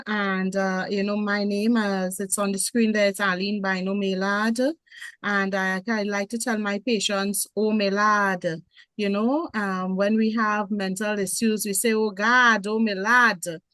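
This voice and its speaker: A woman's voice: mid-range voice, dry recording. Trinidadian woman nurse, dry sound. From Trinidad and Tobago. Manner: warm, rambling, many fillers. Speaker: guest, nurse. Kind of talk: panel guest self-introduction.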